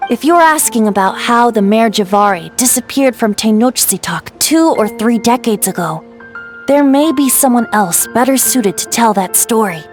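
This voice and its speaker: A woman's voice: on the high side, lively intonation, normal speed, lightly acted. A woman voicing a youthful male bard spirit in a video game, playful and wistful, with a lyrical, theatrical, teasing manner. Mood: measured, reflective. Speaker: storyteller. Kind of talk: video game character acting. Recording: music behind the voice.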